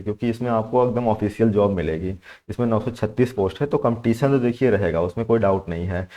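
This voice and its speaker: A man's voice: lowish voice, warm tone. A young man giving informal exam coaching, explaining step by step and repeating his points with frequent check-in tags. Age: young adult. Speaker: exam coach and channel host. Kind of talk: informal instructional talk to students.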